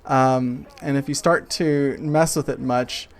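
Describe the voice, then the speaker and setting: A man running a tabletop game, improvising narration in a halting, conversational way. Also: mid-range voice, fairly even intonation. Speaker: game master. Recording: faint background noise.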